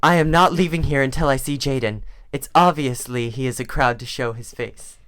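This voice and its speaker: A woman's voice: rumbling low, moderate pace. A woman voicing a brash young woman from a fan fiction story, demanding and sarcastic.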